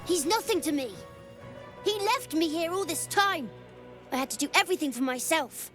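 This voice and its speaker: A woman's voice: very high voice, lively intonation, normal speed, music in the background. A woman voicing a lonely, wistful child spirit, solemn and stubborn, in a fantasy video game. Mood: defiant, hurt. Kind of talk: video game character dialogue.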